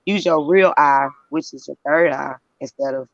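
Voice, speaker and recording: A woman's voice: sits low, normal speed, no echo. A woman calling into a live show, preaching urgently. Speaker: caller. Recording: dull, dry call-in line.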